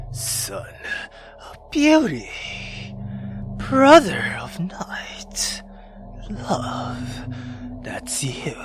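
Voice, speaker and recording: A man voicing a menacing, contemptuous monster from a horror visual novel, in a hushed, breathy, theatrical way. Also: sits high, exaggerated voice. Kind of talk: dramatic visual novel reading.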